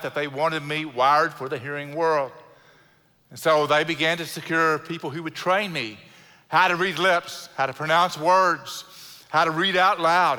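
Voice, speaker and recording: A middle-aged pastor with a South Houston Texan twang delivers his sermon in a crisp, bright, slightly high voice. He is earnest and unhurried, leaning on key words, with a dry joke now and then. His words ring out with a long trailing reverb from a big church sanctuary. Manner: earnest, slows and stresses key words, light self-deprecating humor.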